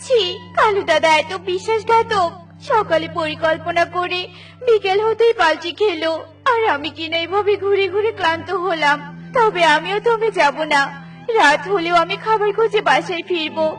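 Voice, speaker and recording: A woman's voice: very high voice, moderate pace, faint music behind. A woman voicing a small, earnest mother bird with a plaintive manner in a children's cartoon. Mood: hurt, determined.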